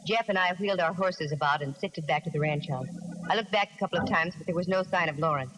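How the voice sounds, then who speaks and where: An American woman playing a wry, self-assured female private detective in a 1940s radio drama, brisk and plain-spoken. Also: lowish voice, loud music behind the voice, natural voice. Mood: wry narration. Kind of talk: 1940s radio acting with first-person narration. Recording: noisy old 1949 radio recording.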